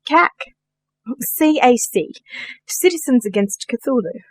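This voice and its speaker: A woman's voice: sits high, big pitch swings, slow, dry recording, heavily acted. A woman playing a polite, earnest and assured client in a radio play.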